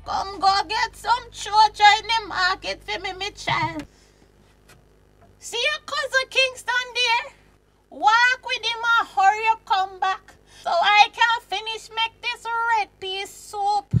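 A woman's voice: very high-pitched, steady tone, slow pace, sharp tone, faint music behind, full character voice. A woman playing an elderly, homely and bossy Jamaican grandmother in a children's puppet show, giving instructions in Patois-flavoured speech. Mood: homely, instructing.

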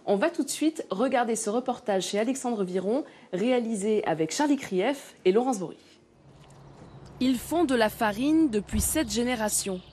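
A woman's voice: fairly high pitch, lively intonation, moderate pace, darkish tone, slight background noise. A woman, presenting a regional TV magazine as a reporter.

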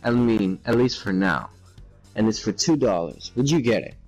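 A man's voice: fairly low pitch, animated, moderate pace, darkish tone, soft background music, rough recording. A young man hosting a gaming session, chatting on a headset mic in a laid-back, teasing way and firing questions at his friends. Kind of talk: casual gaming voice chat.

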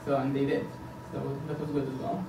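A man's voice: medium pitch, flat delivery, fairly quick. A man in his thirties from Egypt, giving an earnest campaign speech at a podium, with frequent hesitations and fillers. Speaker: board candidate. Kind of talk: campaign presentation. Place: meeting room indoors, at a podium. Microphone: far camera. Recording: echoey room from a distance, background hiss.

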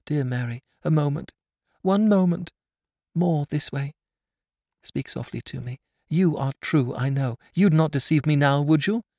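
A man's voice: fairly high pitch, unhurried, gravelly, warm tone, no room sound, studio quality, pitched above the performer's own voice. A man voicing a young blind woman who pleads in a raised, strained voice. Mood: pleading.

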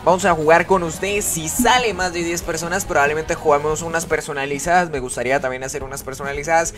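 A man's voice: fairly high pitch, steady tone, fast talker, a bit bright, faint music behind. A man hosting a casual live game stream, chatting with followers, greeting them by name and reading their comments aloud. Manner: chatty, greets viewers and reads chat aloud.

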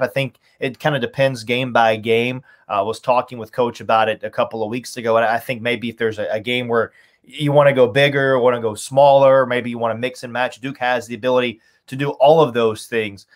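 A man in his thirties talking through team analysis conversationally as a sports announcer on a video call, stressing points by repeating words. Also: mid-range voice. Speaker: radio play-by-play announcer, podcast guest. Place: small home room, indoors. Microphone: video call, webcam framing.

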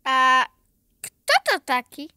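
A woman playing a curious, chatty little granddaughter in a children's radio play, eager and excitable. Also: high voice, slow pace, clear, resonant tone, no room sound, clean studio sound, exaggerated voice.

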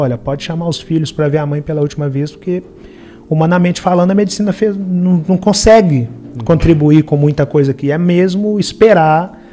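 A man's voice: a little high, mellow, a little hiss or hum. A man in his forties with a Minas Gerais Brazilian Portuguese accent, telling his life story as a podcast guest, earnestly acting out the quoted voices of others. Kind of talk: personal life story told in a podcast interview. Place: studio with brick wall and purple lights. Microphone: studio microphone on a boom arm.

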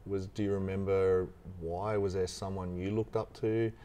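A man's voice: deep voice, level tone, moderate pace, warm tone, a little hiss or hum. A man, hosting a podcast interview and asking curious, encouraging questions. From Australia. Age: 40s. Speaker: podcast host. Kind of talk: casual interview.

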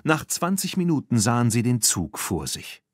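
A man reading a storybook aloud as an audiobook narrator, in a measured, clearly articulated way. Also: mid-range voice, very animated, no echo, clean studio sound.

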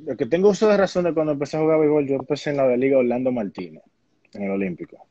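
A young man with a Dominican Spanish accent and a husky voice, casually telling stories as an interview guest on a phone video call. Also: a little high, expressive, racing, mellow. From Dominican Republic. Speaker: interview guest, baseball player. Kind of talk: casual conversational storytelling. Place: indoor room at home. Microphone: phone on a live video call. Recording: muffled video-call audio.

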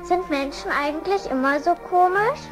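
Very high-pitched little lion cub. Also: female voice, normal speed, over music. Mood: curious. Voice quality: small childlike voice. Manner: simple, naive questioning. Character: innocent, curious young male lion cub. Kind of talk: German children's radio play.

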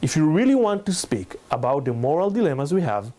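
A man in his thirties with an Israeli accent, lecturing in halting but emphatic non-native English. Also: on the high side, very animated, dark tone. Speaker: lecturer, NGO researcher. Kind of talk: public lecture with slides. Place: indoor salon room, speaking to a small audience.

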